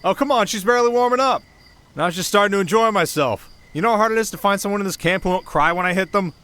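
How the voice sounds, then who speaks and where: A man's voice: high-pitched, quick pace, bright, forceful tone. A man playing a brash, teasing sparring trainer in an audio roleplay drama, boisterous and goading. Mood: taunting.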